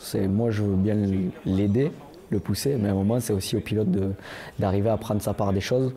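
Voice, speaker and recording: A man speaking as a racing team manager in an interview, measured and thinking aloud. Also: fairly low pitch, warm tone.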